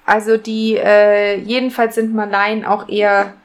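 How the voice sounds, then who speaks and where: A woman's voice: medium pitch, flat delivery, unhurried. A woman in casual podcast chat, explaining things with some hesitation as a co-host.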